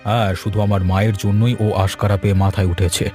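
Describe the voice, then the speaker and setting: A man playing a worried, put-upon village gentleman in a cartoon story, speaking with resigned authority. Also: fairly low pitch, little pitch movement, a little fast, faint music behind, natural voice.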